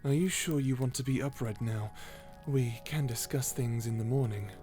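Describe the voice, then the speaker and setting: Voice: mid-range voice, normal speed, warm tone, soft background music, pitched below the performer's own voice, close to an everyday voice. A performer voicing a cold, edgy male tsundere vampire in an ASMR roleplay, curt and dismissive, hiding fondness. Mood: curt, guarded. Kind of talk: ASMR roleplay character acting.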